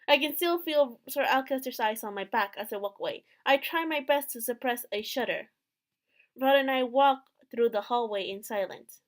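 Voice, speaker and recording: A woman with a high-pitched voice reads story narration aloud from a visual novel. She performs it expressively as the host of a gaming video.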